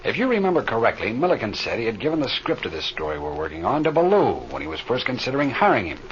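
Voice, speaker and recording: A seasoned, steady flight leader in a vintage radio adventure. He talks quickly and folksily, with lively swings in pitch. The recording is muffled and faintly hissy. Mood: troubled, serious. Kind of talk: old-time radio drama acting.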